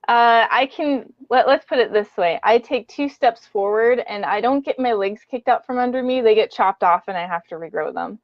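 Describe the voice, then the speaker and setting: A woman's voice: a little high, normal speed, few pauses, no echo, dull audio. A woman giving a casual, matter-of-fact personal account as an interview guest.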